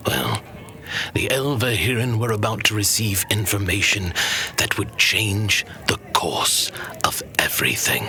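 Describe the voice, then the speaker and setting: A man playing a wise old master who tells legends to a pupil in a solemn, measured, hushed fantasy storytelling style. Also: lowish voice, big pitch swings, exaggerated voice. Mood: solemn, reverent.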